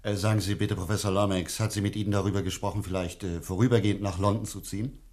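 An actor playing a polite, probing doctor who investigates a mystery in a radio play, speaking with courteous curiosity. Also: male voice, lowish voice, fairly even intonation, fast talker, a little mellow, lightly acted. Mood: earnest, probing. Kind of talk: German radio play acting.

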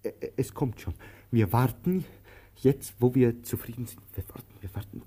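An insistent vagabond man with a medium-pitched voice in a radio play, acted by a man. He coaxes and prods his companion, groping anxiously for words and repeating himself in halting, theatrical phrases. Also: mellow, husky, close to an everyday voice. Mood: groping, excited. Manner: halting, repetitive, coaxing and insistent.

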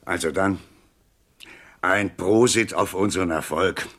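An actor playing a smug, courteous crooked banker, speaking in a suave, formal manner in a 1970s radio crime comedy. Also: male voice, low voice, unhurried, a bit bright. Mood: smugly pleased. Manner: suave, formal, self-satisfied.

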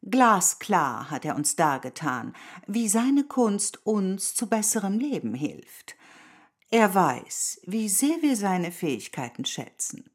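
Haughty, swooping electress. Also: female voice, medium pitch, very animated, slightly rough, heavily acted. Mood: grand, admiring. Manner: grand, deliberate, archaic royal "we". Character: haughty, covetous electress, grand and demanding noblewoman. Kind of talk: radio drama acting.

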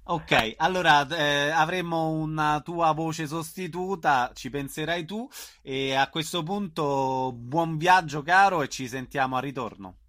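A man's voice: fairly high pitch, a little slow, few pauses, fairly bright tone. A man hosting a radio show and interviewing guests in a conversational way.

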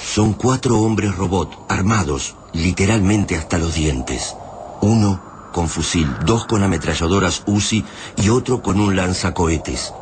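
Male narrator for radio drama, Argentine accent, deep register, dramatic read over noticeable noise. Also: a little slow. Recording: clearly noisy radio drama track.

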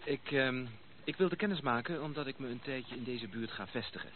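An actor playing a courteous, formal gentleman visitor with a slightly hesitant manner in a Dutch radio play. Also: medium pitch, fairly quick, lightly acted. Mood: courteous, reserved. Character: polite, slightly flustered gentleman visitor, lost on the way. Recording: tinny band-limited old radio play recording, noisy.

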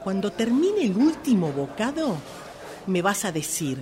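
A woman's voice: mid-range voice, sing-song, measured pace, a little mellow. A woman playing a bossy, menacing jilted girlfriend in a radio comedy, with a Rioplatense accent and a commanding, sarcastic manner. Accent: Rioplatense Argentine. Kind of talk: radio drama comedy acting.

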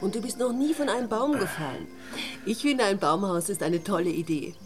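An actress playing a gentle, protective mother who soothes and pleads in a radio drama. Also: mid-range voice, lively intonation, a little fast, soft background music, close to an everyday voice. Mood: encouraging. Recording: noisy background with clicks.

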